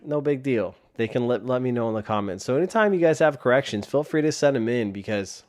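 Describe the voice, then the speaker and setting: A man's voice: mid-range voice, animated, fast, a little mellow. Tired man hosting a casual podcast. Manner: rambling with frequent restarts. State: sore throat, tired. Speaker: podcast host. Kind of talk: casual solo podcast talk. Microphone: desk microphone.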